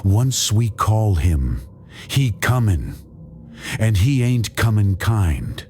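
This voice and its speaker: A man's voice: on the low side, very animated, slow pace, darkish tone, faint music behind, voice pushed up. A man voicing a grave, resolute conjure woman in a horror audiobook, murmuring ominously. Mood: grave warning.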